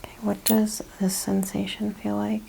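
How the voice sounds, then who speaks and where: A woman speaking softly, often in a breathy whisper, gently and carefully explaining each step as a chiropractor in an ASMR exam roleplay. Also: medium pitch, level tone, normal speed, a little hiss or hum, low-quality recording. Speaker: ASMR host playing a chiropractor examining a patient.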